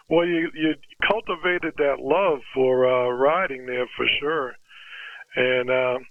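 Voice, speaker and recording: A man's voice: medium pitch, slow pace, no room sound. An older American man chatting casually and encouragingly as an amateur radio operator, handing over as he goes. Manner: chuckling, encouraging, uses radio sign-overs. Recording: dry, narrow phone-like radio audio.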